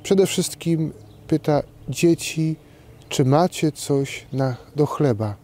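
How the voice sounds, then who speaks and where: Slow, mellow male priest, outdoor noise. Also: on the high side. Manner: measured, deliberate phrasing with pauses. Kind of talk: short scripted homily to camera. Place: outdoors in a park.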